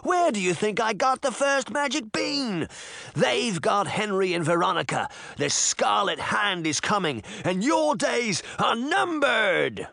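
A man's voice: high voice, big pitch swings, a little slow, creaky, no echo, studio quality, pitched above the performer's own voice, exaggerated voice. A man voicing a desperate young male villain in an audiobook, crying out and ranting frantically. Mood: manic ranting. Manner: shouting, frantic, pleading and ranting. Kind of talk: audiobook character voice.

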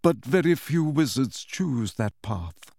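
A man voicing a melancholy, gentle ghost of a wizard in a storybook reading, speaking slowly and hesitantly in formal phrases. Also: mid-range voice, very animated, dry recording. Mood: mournful.

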